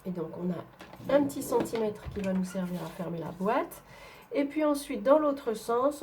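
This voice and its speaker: A woman's voice: on the high side, noisy background. A woman from northern France with a head cold, chatting through a papercraft tutorial as she works, with frequent hesitations. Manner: chatty, explains while working, frequent fillers. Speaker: papercraft demonstrator. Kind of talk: craft tutorial.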